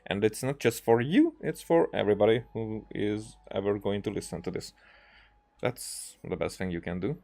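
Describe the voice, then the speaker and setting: A man giving casual let's-play commentary, rambling and often halting as he searches for his words. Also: lowish voice, creaky, dark tone. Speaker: game streamer, commentator. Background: faint game music.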